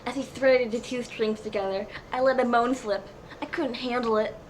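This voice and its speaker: A woman's voice: on the high side, steady tone, moderate pace, a little mellow. A woman narrating a comedic dramatic reading of fan fiction in a mock-serious storybook manner. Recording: clearly noisy home recording.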